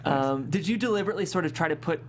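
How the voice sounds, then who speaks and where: A woman's voice: low voice, very fast, a loud crowd. A woman asking casual questions as an interviewer.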